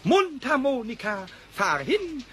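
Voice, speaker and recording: A man's voice: very high-pitched, huge pitch swings, takes it slowly, a bit bright, full character voice. A man playing a small, cheeky, stubborn kobold in a children's radio play, talking in an eager, stammering, childlike way. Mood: dramatic, noble. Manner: stammering eagerly, insistent, dramatic. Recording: noisy old cassette audio.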